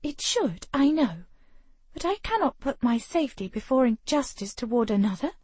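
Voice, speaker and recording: Brief: a woman voicing a defiant young heroine, higher and insistent. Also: sing-song, normal speed, darkish tone, slightly rough, dry recording, heavily acted. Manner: urgent and indignant.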